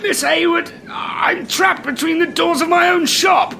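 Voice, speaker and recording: A man's voice: high voice, lively intonation, normal speed, bright, forceful tone, slight background noise, full character voice. A man with a British accent playing a flustered, pompous, exasperated supermarket manager in a comedic audio drama. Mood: panicked irritation.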